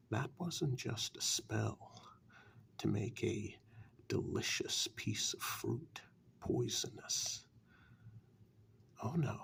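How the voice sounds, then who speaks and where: A man playing a condescending, reclusive warlock in a soft-spoken dark fairytale ASMR role-play, slow, deliberate and taunting. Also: lowish voice, vocal fry, exaggerated voice.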